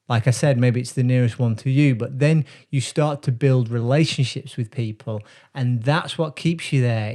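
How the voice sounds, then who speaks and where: Mellow-voiced man explaining brand ideas. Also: mid-range voice. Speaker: brand strategist. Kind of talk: casual explanatory talk to camera.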